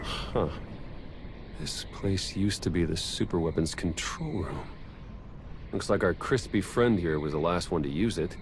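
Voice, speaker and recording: A man's voice: sits low, a little slow, background noise. An actor playing a wry, practical male secret agent in a video game cutscene, speaking in a matter-of-fact way.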